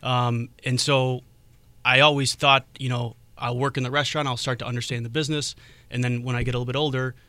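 A man's voice: medium pitch, little pitch movement, fast, bright, forceful tone, dry recording. A man who is a guest on a radio show, answering interview questions about his work with a hesitant manner and frequent "um"s. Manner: hesitant, lots of "um"s, friendly. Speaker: restaurant creative director, radio guest. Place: radio studio. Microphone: studio microphone.

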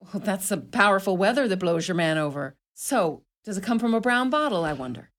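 A woman's voice: medium pitch, expressive, brisk, lightly acted. A woman playing a blunt, sardonic Irish widow in her sixties in a radio play, with an Irish accent. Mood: scornful, brisk. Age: 60s.

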